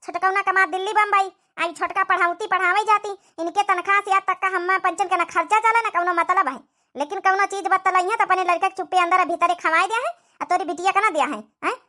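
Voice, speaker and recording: A woman's voice: very high voice, fairly even intonation, fast. A woman voicing a scolding, indignant old village mother-in-law in a comic cartoon, with an Awadhi accent and nagging questions. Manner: nagging, repeats sharp questioning tags.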